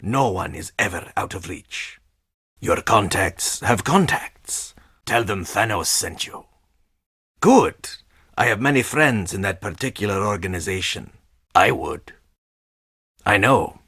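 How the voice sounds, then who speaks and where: A menacing, world-weary game character, speaks slowly in a low, husky voice. He is terse and deadpan, with an ominous edge. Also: no echo, studio quality, exaggerated voice. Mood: cool, menacing. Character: menacing, world-weary male stagehand guarding a theatrical underworld. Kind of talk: video game character dialogue.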